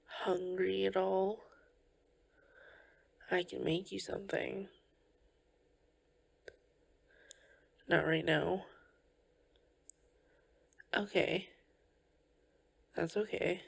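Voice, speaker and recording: Caring girlfriend for comfort ASMR, acted by a woman: breathy, crackly low-end fry, gentle and reassuring. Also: full character voice. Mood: tender concern. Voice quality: breathy, often slipping into whispers. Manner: gentle, slow, reassuring, with soft pauses. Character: tender, caring girlfriend comforting a tired partner. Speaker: ASMR roleplay performer. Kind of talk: soft-spoken ASMR comfort roleplay.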